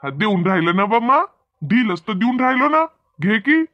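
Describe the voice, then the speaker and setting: An actor voicing a fussy, excitable village man in a comedy cartoon, urgent and repetitive, slightly muffled. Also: medium pitch, normal speed, no room sound, close to an everyday voice. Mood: urgent, excited. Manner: urgent, repeats words. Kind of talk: animated comedy cartoon dialogue.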